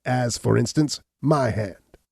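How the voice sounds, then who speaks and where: Man voicing a hunchbacked villain, snarling, defiant, raised pitch, loud, gravelly, dry. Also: slow pace, dry recording, heavily acted. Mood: sneering.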